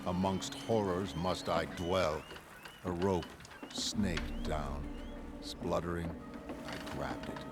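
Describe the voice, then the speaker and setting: A man voicing a grim castaway narrating a pirate horror comic in a slow, florid, theatrical manner. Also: deep voice, heavy background noise. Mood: despairing. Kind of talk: gothic storybook narration.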